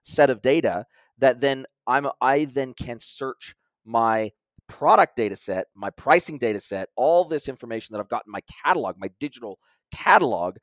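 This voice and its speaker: A man's voice: mid-range voice, no echo. Man explaining at length, dry sound. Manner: explains at length, with frequent restarts. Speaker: guest expert. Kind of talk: conversational interview.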